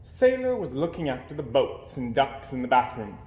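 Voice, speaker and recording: Animated male storyteller in a roomy space. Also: medium pitch, moderate pace. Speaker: storyteller reading a picture book aloud. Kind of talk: expressive children's story narration. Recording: roomy, echoey indoor sound.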